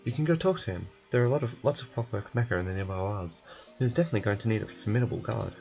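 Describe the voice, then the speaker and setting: A man's voice: fairly low pitch, very fast, creaky, a little mellow. A man voicing a fussy, wordy, coaxing male bureaucrat in a video game. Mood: brisk, businesslike. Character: fussy male bureaucratic clerk, pleased and pushy, nursing hurt leg. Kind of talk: video game character voice-over. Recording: music behind.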